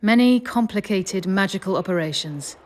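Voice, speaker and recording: A haughty woman acting a sorceress from a fantasy game, with a middle-pitched voice. She is cool and self-assured, giving each line in a measured, imperious manner. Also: expressive, a little mellow, close to an everyday voice. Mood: cool, composed.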